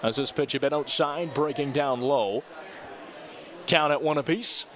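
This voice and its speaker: Young guy calling a ball game outdoors, slightly high voice, chatty, gets loud on strikeouts. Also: measured pace. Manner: upbeat, chatty, rises sharply on strikeouts. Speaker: play-by-play broadcaster. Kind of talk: live baseball commentary. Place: outdoor ballpark.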